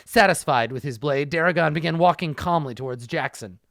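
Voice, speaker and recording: A man's voice: on the high side, brisk, creaky, fairly bright tone, dry recording, clean studio sound. A man reading a pulpy story aloud as a storyteller, with wry, sarcastic asides.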